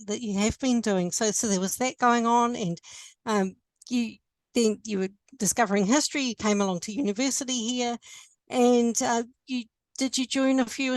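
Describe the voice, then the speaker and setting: A woman's voice: mid-range voice, dry recording. Hesitant New woman interviewer, dry sound. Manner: warm, hesitant, with many ums and restarts. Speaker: interviewer, former lecturer. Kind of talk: conversational interview.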